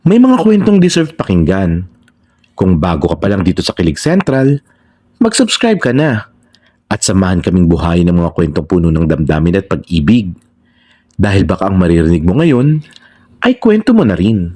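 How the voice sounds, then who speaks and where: A man's voice: deep voice, huge pitch swings, normal speed, long pauses, a little mellow. A man with a Filipino accent reading a scripted romantic story as narrator. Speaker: narrator and channel host.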